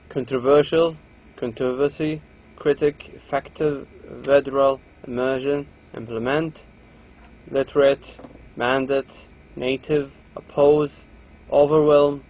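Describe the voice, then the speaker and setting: A man's voice: medium pitch, rough recording. A man from Saudi Arabia with a Saudi Arabic accent, a native Arabic speaker, giving a recorded reading lecture to students, reading a textbook aloud and explaining with frequent restarts. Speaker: university English lecturer.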